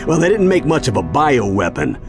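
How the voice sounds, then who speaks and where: A man playing a genial, eccentric old grandfather and seasoned adventurer in an animated game cutscene. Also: medium pitch, a little fast, over music, close to an everyday voice. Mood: pleased. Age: older.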